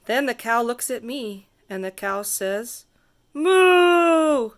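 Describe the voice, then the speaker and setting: Lady reading a kids rhyming book, clear bright voice, theatrical and sing-songy. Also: a little high. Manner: theatrical, sing-song, drawn-out emphasis. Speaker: storybook reader. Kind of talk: expressive read-aloud of a rhyming children's picture book.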